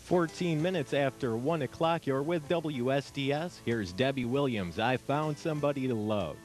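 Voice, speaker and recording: A man's voice: mid-range voice, normal speed, a little mellow. Young man reading radio weather. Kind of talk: reading weather and station IDs. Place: small radio studio. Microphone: boom mic with headphones.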